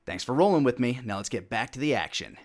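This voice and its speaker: A man in his thirties runs a tabletop role-playing game as game master. He narrates scenes on the fly in a mid-pitched voice. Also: lively intonation, fast. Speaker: game master and show host.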